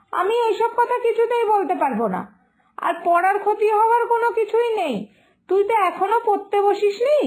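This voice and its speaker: A woman voicing a loving but firm village mother in an animated moral story, scolding and coaxing in a motherly way. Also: very high voice, rough recording.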